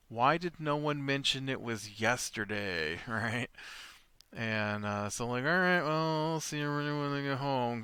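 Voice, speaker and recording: A man's voice: medium pitch, sharp tone, smooth, no echo. A middle-aged man hosting a game playthrough from home, telling a meandering story full of fillers and restarts. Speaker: let's play host. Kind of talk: rambling casual gameplay chat. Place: home room.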